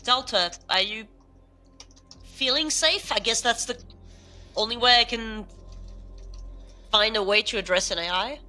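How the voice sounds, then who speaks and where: A woman's voice: fairly high pitch, measured pace, pauses often, sharp tone. A woman playing a doubtful, questioning team member in an audio drama, searching for her words in natural, improvised-sounding dialogue. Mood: probing, suspicious.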